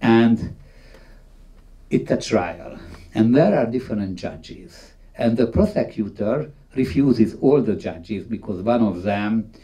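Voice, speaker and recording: Slow, distant man giving a talk. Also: fairly low pitch, animated, dark tone. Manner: halting, emphatic, non-native English phrasing. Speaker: conference speaker on film history. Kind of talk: unscripted talk retelling film scenes.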